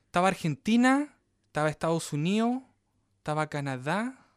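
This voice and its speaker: A man's voice: a little high, sing-song, moderate pace, smooth, dry recording, clean studio sound. A man in his thirties from Australia with Chilean parents, a dancer telling his story as an interview guest, often checking in with "¿me entiendes?". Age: 30s. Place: Melbourne.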